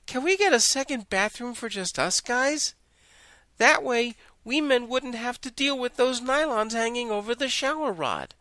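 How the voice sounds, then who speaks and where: An actor playing a good-natured, exasperated young son in an audio drama. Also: male voice, very high voice, expressive, moderate pace, bright, forceful tone, no room sound, heavily acted. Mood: grumbling.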